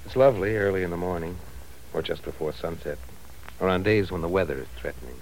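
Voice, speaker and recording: A gentle deep-voiced fiancé. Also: male voice, quick pace, poor audio. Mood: tender. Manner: tender, teasing. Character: young man Steve, a gentle reassuring fiancé. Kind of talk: 1940s radio acting. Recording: old radio transcription with faint hiss.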